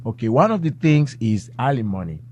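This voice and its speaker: A man's voice: mid-range voice, sing-song, fairly quick, darkish tone, muffled recording, slight background noise. A man as a tax and finance guest, patiently walking through examples step by step in an interview. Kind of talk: explanatory interview talk.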